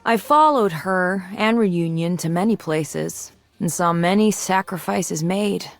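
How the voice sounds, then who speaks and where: A woman voicing a mature, resolute and idealistic swordswoman in a video game, composed and earnest in her measured delivery. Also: deep voice, dry recording. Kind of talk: scripted video game character lines.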